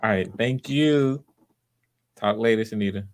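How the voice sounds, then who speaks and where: Man, somewhat deep voice, encouraging casting host chatting casually on a live stream. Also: a little slow.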